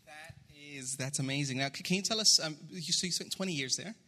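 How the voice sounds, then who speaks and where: A man's voice: fairly high pitch, fairly quick, sharp tone. A man hosting a casual, encouraging live interview at a church gathering. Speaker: church host interviewing a member.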